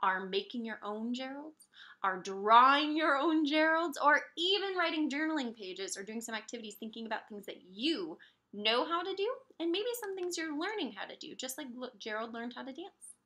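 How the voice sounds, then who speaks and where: Woman, preschool teacher, bright voice, very lively intonation, expressive picture-book read-aloud, encouraging, child-directed. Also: high-pitched, moderate pace. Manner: expressive, encouraging, simple explanations for small children.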